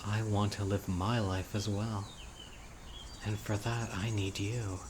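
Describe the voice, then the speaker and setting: A man playing an obsessive, dying young witch in an audio roleplay, speaking to his beloved in a deliberate, intimate, pleading way. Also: fairly low pitch, flat delivery, noisy background. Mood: tender, needy. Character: obsessive, dying young male witch, devoted yandere lover. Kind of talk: audio roleplay, dramatic monologue to listener. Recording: outdoor nature ambience, crickets and birds. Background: insects, birdsong.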